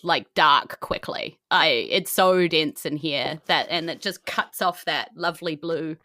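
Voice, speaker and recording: A woman's voice: low voice, a little slow, bright, forceful tone, no room sound, studio quality. A woman with a New Zealand accent, narrating a tabletop game as dungeon master in casual, colloquial language.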